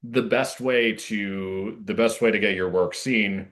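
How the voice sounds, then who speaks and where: A male interview guest with a fairly low voice speaks casually and earnestly. He feels his way thoughtfully through sentences, pausing and stressing words deliberately. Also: steady tone, fairly bright tone. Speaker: playwright guest.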